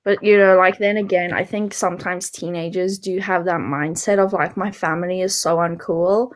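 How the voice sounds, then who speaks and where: A woman's voice: on the low side, fairly even intonation, moderate pace. A woman co-hosting an informal book discussion, speaking in loose, hesitant phrases that often trail off. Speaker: book podcast co-host. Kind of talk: casual book discussion chat.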